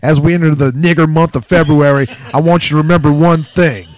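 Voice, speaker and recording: A man voicing a pompous, bigoted rabble-rouser giving a slow, folksy, preachy hotline speech in a comedy podcast. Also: on the high side, steady tone, lightly acted.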